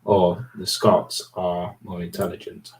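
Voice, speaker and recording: A man's voice: sits low, level tone, unhurried, a little mellow, slight background noise, low-quality recording. A middle-aged Englishman co-hosting a live craft stream, teasing and joking in casual banter. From England.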